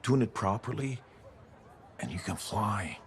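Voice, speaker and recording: An actor playing a cocky, gruff mechanic freelancer in a video game, boastful, folksy and plain-spoken. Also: male voice, lowish voice, sing-song, measured pace, slight background noise. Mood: musing, wry. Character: cocky, gruff mechanic freelancer philosophizing about the world as machine. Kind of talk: video game dialogue acting.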